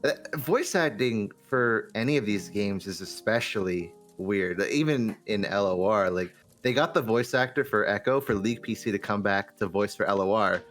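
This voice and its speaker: A man in a casual podcast discussion, complaining in an animated way and often saying "like". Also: lowish voice, normal speed, hardly any pauses, faint music behind. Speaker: podcast guest.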